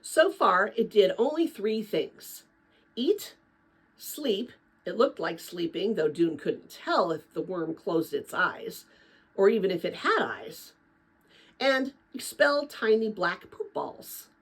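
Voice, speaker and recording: Woman storyteller for a middle-grade read-aloud. Lively, varied pitch, lightly raspy tone, emphatic delivery. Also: slow pace. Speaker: read-aloud host, narrator. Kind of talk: dramatic children's book read-aloud with casual asides.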